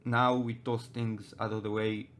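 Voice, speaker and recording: A young man from Israel with an Israeli accent, Hebrew his first language, hosting a casual chat podcast and talking haltingly with many restarts. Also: fairly low pitch, level tone, a little hiss or hum. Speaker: podcast host.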